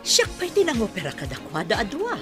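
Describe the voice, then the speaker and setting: An actress with an Ilocano accent playing a gossipy village wedding guest in a radio comedy drama. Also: female voice, on the high side, huge pitch swings, fairly quick, music in the background.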